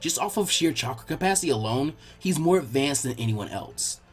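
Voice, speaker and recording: A man's voice: mid-range voice, animated, a little fast, husky, soft background music, pitched below the performer's own voice. A man voicing an earnest, bookish young girl tutor who explains things kindly and teasingly in an audiobook-style story. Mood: explaining.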